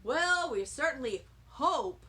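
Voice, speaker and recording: A woman's voice: high voice, lively intonation, slow pace, pushed and bright, full character voice. A woman voicing a friendly, easygoing dad who patiently explains a party game in a children's story. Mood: calm, encouraging. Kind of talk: children's audiobook character voice.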